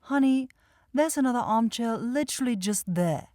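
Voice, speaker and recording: A woman's voice: on the high side, expressive, normal speed, a little mellow, no echo, clean studio sound, pitched above the performer's own voice, lightly acted. A woman voicing an aloof, teasing young vampire girl in an ASMR roleplay, with a cool, sardonic, dismissive manner.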